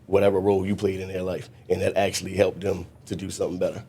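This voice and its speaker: A man being interviewed as a mentor, talking in an earnest, reflective way with frequent restarts and a naturally loud voice. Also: deep voice, monotone, fast, slight background noise. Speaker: guest mentor. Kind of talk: conversational interview.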